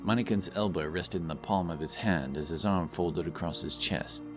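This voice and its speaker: A man's voice: sits low, normal speed, music in the background. A man reading scripted fantasy fiction as a dramatic audiobook narrator.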